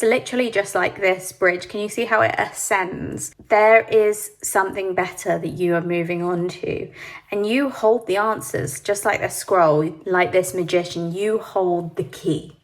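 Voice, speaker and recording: A woman giving a pick-a-card tarot reading, sniffly from hay fever, speaking gently and reassuringly to the viewer. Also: mid-range voice, fairly even intonation, moderate pace. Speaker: tarot reader.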